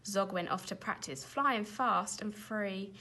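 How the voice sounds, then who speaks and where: A woman teacher reading a children's storybook aloud in an animated, expressive way. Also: mid-range voice, slow pace.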